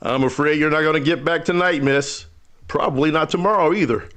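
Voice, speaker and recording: Man as kindly old country storekeeper, fairly high voice, folksy, halting, wistful, old-time radio drama. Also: a bit bright, natural voice. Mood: folksy, reassuring. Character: kindly older rural storekeeper, hospitable but wistful.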